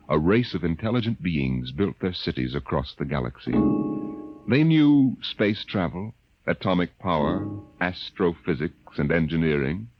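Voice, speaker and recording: An actor playing a solemn, portentous science fiction narrator, slow and measured, in 1950s radio style. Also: male voice, rumbling low. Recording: dull old radio recording.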